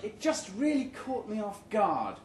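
A man's voice: sits high, wildly swooping pitch, unhurried, recorded from a distance, pitched above the performer's own voice, exaggerated voice. A man playing a glum, baffled department manager in a live short story reading, downcast and sighing. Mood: hurt, baffled. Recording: roomy live venue recording with background noise.

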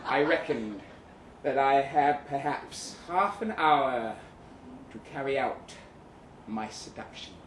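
A man giving an expressive, arch, theatrical reading of a first-person short story at a live event. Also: mid-range voice, slow pace, pauses often. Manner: expressive, wry, arch delivery. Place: indoor live reading event before an audience. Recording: echoey live room, audible noise.

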